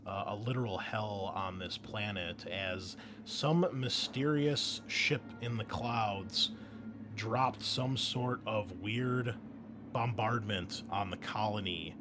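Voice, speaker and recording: A man's voice: lowish voice, slow, music in the background. A man speaking as game master and host, chatting casually with enthusiasm and slang. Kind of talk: casual table chat and game recap. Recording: noticeable background noise.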